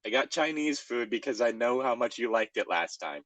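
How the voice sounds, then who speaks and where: A man's voice: mid-range voice, expressive, normal speed, a bit bright, no room sound. A man reading a story aloud and bantering casually, in an amused, exasperated way, stumbling now and then. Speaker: podcast co-host reading a fan fiction aloud.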